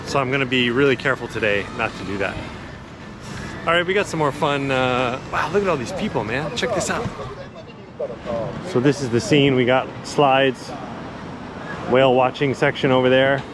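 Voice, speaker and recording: Clear outdoor city ambience surrounds a man with a medium-pitched voice as he films himself on a handheld action camera. He talks like a vlogger showing viewers around, casual and colloquial. His delivery is easygoing yet enthusiastic, as in an unscripted walk-and-talk. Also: normal speed, pauses often, background noise. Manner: laid-back, enthusiastic, colloquial. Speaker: vlogger giving a walking tour. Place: outdoors in a busy city park.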